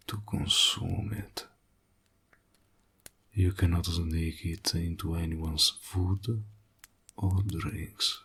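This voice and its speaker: Man voicing a slow, gravelly, sly evil warlock. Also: a little mellow, exaggerated voice. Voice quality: breathy, gravelly, husky low voice. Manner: slow, deliberate, drawling, insinuating. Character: sly evil male warlock, teasing dark bargainer. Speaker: voice actor. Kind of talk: roleplay audio drama, intimate villain monologue.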